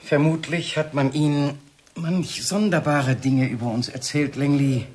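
An actor playing a suavely sinister husband in a radio drama, with mannered courtesy and a falsely tender, condescending tone. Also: male voice, a little high, fairly even intonation, a little slow, a little mellow, close to an everyday voice. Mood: suave, mocking. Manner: mannered courtesy, cooing endearments. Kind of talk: 1970s German radio drama acting. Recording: 1970s radio recording with faint noise.

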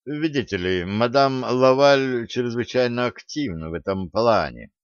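A man's voice: mid-range voice, animated, a little slow, clean tone, no echo, lo-fi sound, natural voice. A man voicing a wry, tired man nearing forty in an audiobook, slyly mock-polite as he banters. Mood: weary, joking.

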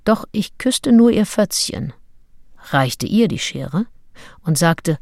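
An actress playing a self-assured, calculating nineteenth-century seducer who narrates her diary in a radio play, wry and deliberate. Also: on the low side, expressive, darkish tone, no room sound, studio quality. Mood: intimate, wry. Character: self-assured, calculating female seducer and diarist, early 1800s.

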